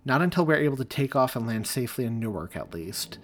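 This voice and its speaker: A man voicing a tired, frazzled mother who sounds exasperated and sharp. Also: medium pitch, big pitch swings, quick pace.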